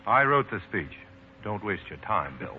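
An actor playing an earnest, busy political speechwriter in 1940s radio drama, animated and hesitant when cornered. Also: male voice, on the low side, unhurried, a little hiss or hum. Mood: subdued. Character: Stephen, earnest busy male political speechwriter, sociable but evasive. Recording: dull vintage 1940s radio broadcast.

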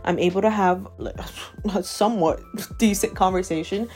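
A woman's voice: medium pitch, normal speed. A woman in her twenties, from Ecuador with Spanish as her first language, hosting a casual podcast and ranting emphatically, often tagging on "okay?". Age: 20s. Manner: emphatic and animated, keeps asking "okay?". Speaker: podcast host. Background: faint chill background music.

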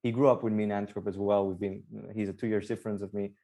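A man's voice: lowish voice, little pitch movement, fast talker, mellow. A young man from Belgium, co-founder of a startup, chatting as a podcast guest, upbeat and explaining at length with fillers. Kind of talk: casual podcast interview.

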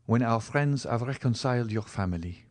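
A man playing a passionate, desperate young gentleman in love in an audiobook, speaking with earnest, formal urgency. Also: mid-range voice, animated, moderate pace, close to an everyday voice. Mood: ardent. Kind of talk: dramatic audiobook character acting.

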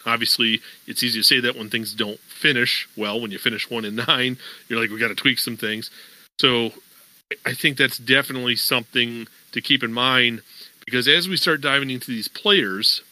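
Guy hosting a football podcast, really bright voice, dead dry sound, rambles w/ lots of you knows. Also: medium pitch, dry recording, rough recording. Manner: conversational, many fillers, explains at length. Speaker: podcast host. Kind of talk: casual sports podcast talk.

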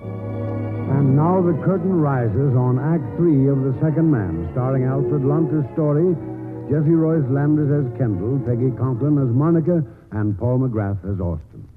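A man's voice: mid-range voice, lively intonation, measured pace, over music. A man giving formal, theatrical scripted narration as a 1940s radio announcer. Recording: tinny old radio broadcast.

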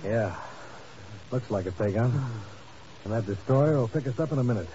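A man's voice: mid-range voice, animated, moderate pace, dark tone, dull audio, natural voice. A man playing a cool, brave, dryly impatient secret agent in a 1950s radio drama, on an old noisy recording. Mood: grave, steady.

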